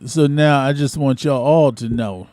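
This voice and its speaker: A man hosting a casual music talk show, hyping up his guests with excited shouts. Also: mid-range voice, a little slow, dry recording. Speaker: show host. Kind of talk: casual chat.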